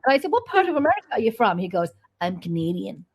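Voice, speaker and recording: A woman's voice: medium pitch, very animated, fast, slightly rough. An Irish woman hosting a podcast, chatting casually, laughing often and swearing lightly. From Ireland. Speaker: podcast host. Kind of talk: casual chat.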